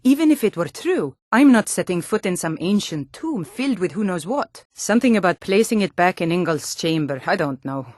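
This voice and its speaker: Hardy Nord warrior woman, lowish voice, proud, firm, plain-spoken, earnest, fantasy game dialogue, dry studio sound. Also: very animated, a little fast, few pauses, darkish tone, no room sound. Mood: fed up, grudging.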